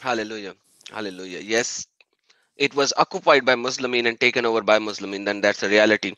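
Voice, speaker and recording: A man's voice: fairly low pitch, fast talker, sharp tone, no room sound, poor audio. A man with a Pakistani accent hosting a live stream, reading viewer questions, joking and laughing. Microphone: video call.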